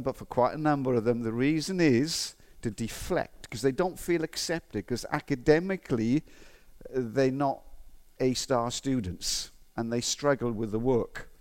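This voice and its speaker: A man's voice: medium pitch, moderate pace. Middle-aged male preacher, faded Welsh accent, conversational sermon delivery. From Wales. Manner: conversational, with frequent restarts and fillers. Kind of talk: sermon with personal stories and Bible reading.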